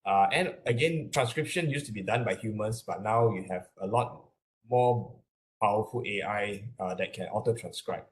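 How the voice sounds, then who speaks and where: A man with a Malaysian accent lectures in a fairly low voice. He explains things step by step as an academic would in a webinar, in a halting way, often repeating words as he searches for the next phrase. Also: a little hiss or hum.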